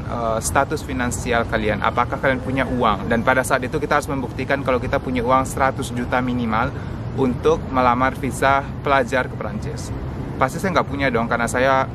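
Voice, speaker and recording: A man's voice: mid-range voice, background noise. Earnest young man telling his story. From Indonesia. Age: 20s. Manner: casual and earnest, with light English asides. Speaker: vlogger. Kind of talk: personal storytelling vlog.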